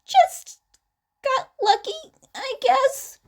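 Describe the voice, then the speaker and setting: A woman's voice: expressive, very slow, full character voice. A woman voicing an anxious, defensive teenage boy vigilante in a strained, urgent way for a story reading. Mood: flustered. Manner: strained, urgent, squeaky when flustered.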